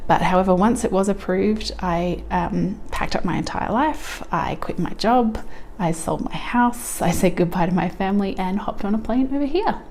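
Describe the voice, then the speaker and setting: A woman in her early thirties with an Australian accent talks casually to her viewers. Her voice sits on the low side and often drops into a creaky fry. Clear background noise runs under the homemade vlog recording. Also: a little mellow. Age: early 30s. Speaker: vlogger introducing herself on a couponing channel. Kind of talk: casual scripted-feeling chat to camera.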